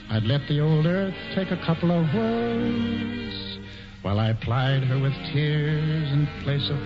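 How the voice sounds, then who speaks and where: An actor playing an aging, pompous male governor in a 1940s radio musical, singing slowly and then barking orders. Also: fairly high pitch. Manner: slow crooning singing, then blustering commands. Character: aging pompous male colonial governor courting a young maiden. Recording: dull vintage radio broadcast with loud orchestra.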